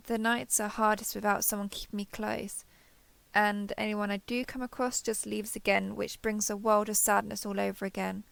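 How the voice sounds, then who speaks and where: A woman's voice: mid-range voice, gravelly, no room sound. A woman playing a lonely, clingy alien posing as a human survivor in an intimate audio roleplay, speaking hesitantly and coaxingly to the listener. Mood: lonely, pleading. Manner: hesitant, coaxing, softly over-eager to please.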